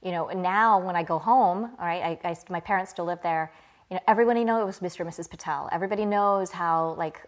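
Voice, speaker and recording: A woman's voice: fairly low pitch, fast. A woman, an interview guest talking in a casual, reflective, conversational storytelling way. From born in England, grew up in small-town Virginia, USA. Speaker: interview guest, company CEO.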